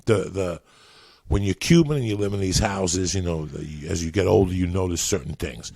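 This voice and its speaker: A man in his fifties, born in Cuba and raised around New York, tells stories fast and profanely. His voice is very deep, gravelly and husky, and it sounds a little stoned. It is recorded dry and close. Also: a little mellow, dry recording. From Cuba, raised in New York and New Jersey. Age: 50s. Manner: profane, streetwise, rapid storytelling. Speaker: guest comedian. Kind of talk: storytelling.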